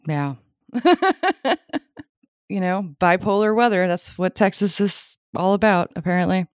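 A woman's voice: mid-range voice, lively intonation, measured pace, no echo, clean studio sound. A woman hosting an interview podcast, friendly and laughing along with her guest. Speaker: podcast host. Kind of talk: casual interview chat.

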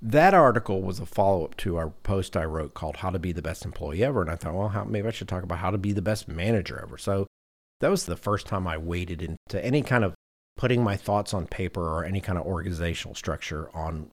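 Very deep-voiced man hosting a podcast. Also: fast. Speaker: podcast host. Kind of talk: casual conversational podcast talk.

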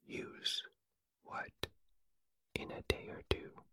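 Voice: bright, forceful tone, dry recording, clean studio sound. A reader whispering the lines of a simple, puzzled teddy bear in a storybook. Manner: mild, slow-witted, innocent.

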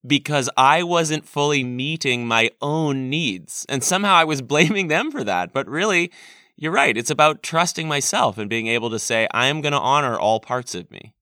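A man with a medium-pitched, smooth and clear voice, recorded very dry. He chats casually and reflectively as a podcast host. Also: expressive, moderate pace, bright, forceful tone, dry recording. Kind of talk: casual, reflective interview chat.

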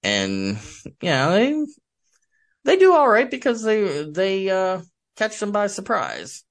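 A man from the United States being interviewed as an author, explaining things casually and haltingly as he searches for words. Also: high voice, wildly swooping pitch. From United States, Michigan.

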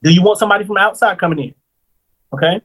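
Man, 30s, high voice, financial planner guest, chatty and lively, keeps checking in with the listener. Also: fast, slightly rough. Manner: lively, explains step by step with repeated "right?" checks. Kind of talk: casual podcast conversation.

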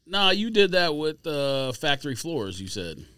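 A man's voice: a little high, sing-song, a little slow, bright, forceful tone, clear, resonant tone, no room sound, clean studio sound. An American man co-hosting a podcast, explaining things in casual conversation.

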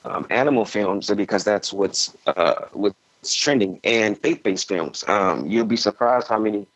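A man giving casual, advisory interview answers as a filmmaker guest, haltingly, often repeating words. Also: on the low side, low-quality recording.

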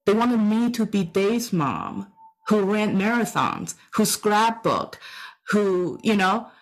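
A woman's voice: medium pitch, sing-song, takes it slowly, poor audio. A middle-aged woman from Taiwan, a native Chinese speaker with a Taiwanese accent, tells personal anecdotes in an emphatic way, repeating phrases for effect. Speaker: storyteller giving a personal talk.

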